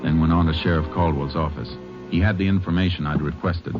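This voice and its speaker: An actor playing a methodical, matter-of-fact Texas Ranger in an old-time radio western, speaking in a measured, deliberate way. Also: male voice, extremely low pitch, steady tone, muffled recording. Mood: measured narration. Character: calm, methodical male Texas Ranger investigator. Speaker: lead actor and narrator in a western radio drama. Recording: old radio recording with music.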